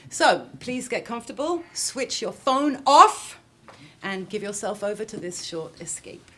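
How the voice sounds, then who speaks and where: A woman's voice: medium pitch, very animated, measured pace, fairly bright tone. Female event host, mid-range voice, prepared introduction of a guest, faint noise and clicks on the recording. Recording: clearly noisy with clicks.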